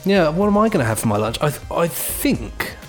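A man's voice: a little high, very animated, fairly quick, faint music behind. A British man co-hosting a podcast in casual comic chat, with a whimsical, rambling manner. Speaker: podcast co-host.

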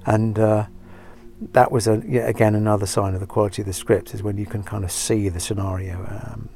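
Mellow low-voiced man, thoughtful. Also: little pitch movement, brisk. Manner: thoughtful, hesitant. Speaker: actor interviewed. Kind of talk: interview answer.

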